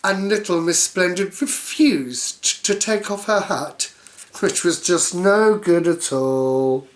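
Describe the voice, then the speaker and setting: A woman's voice: lowish voice, takes it slowly. A woman reading a children's story aloud in a silly, theatrical way, dropping in playful asides.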